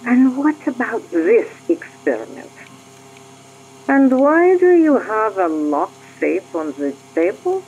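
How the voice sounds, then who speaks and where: Actress as female KGB mole, Russian accent, slow animated delivery, radio drama on hissy old tape. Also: a little high, exaggerated voice. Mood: businesslike, inquiring. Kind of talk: Cold War radio play acting. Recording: muffled hissy spy tape with hum.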